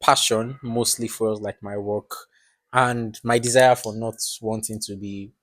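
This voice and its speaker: Low-voiced young man, rambling. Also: fairly even intonation, measured pace, fairly bright tone, no echo. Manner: rambling, filler-heavy, reflective. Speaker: podcast host. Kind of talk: casual chat.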